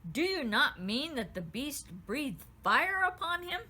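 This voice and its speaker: A woman's voice: sits high, very animated, measured pace, sharp tone, voice pushed up, full character voice. A skeptical guard captain, read by a woman.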